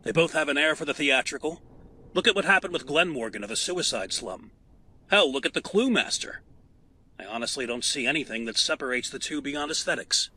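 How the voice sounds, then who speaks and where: Man playing very bright-voiced, frank crime fighter. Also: a little high, lively intonation, a little fast, husky, heavily acted, low-quality recording. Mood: frank, earnest. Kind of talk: audio drama acting.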